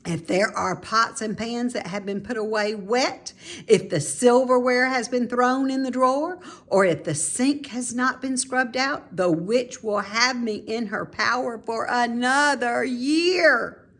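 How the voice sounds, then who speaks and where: A woman voicing a cheerful, playful grown woman from a storybook, with animated, emphatic delivery that savours the words. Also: on the high side, a little slow, pitched above the performer's own voice. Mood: dramatic make-believe. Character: cheerful, playful grown woman who delights in housework make-believe.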